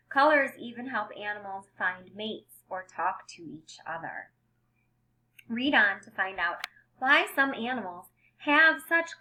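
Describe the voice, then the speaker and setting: Lady reading a kids book out loud like a teacher, really slow and bright, stresses the key words. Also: fairly high pitch, rough recording. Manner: expressive, emphasized headings with simple explanatory asides. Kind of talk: read-aloud storytelling for young children with simple explanations.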